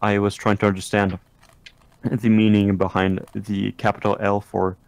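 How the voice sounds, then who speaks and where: A man's voice: lowish voice, flat delivery, normal speed, vocal fry, smooth, no echo. A man whose first language is French, chatting casually with friends, grumbling in mock annoyance and correcting himself as he goes. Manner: joking mock-grumpy, self-correcting. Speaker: friend drawing on stream. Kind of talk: casual group chat.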